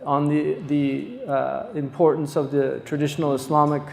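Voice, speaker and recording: A man with a slightly raised, gravelly voice hosts a lecture, introducing a guest scholar. He talks off the cuff, pausing often and leaning on fillers. The sound carries the long echo of a large hall. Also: fairly high pitch, a little mellow. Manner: halting, many pauses and fillers. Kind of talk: unscripted introductory talk at a lecture. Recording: echoey hall, distant mic.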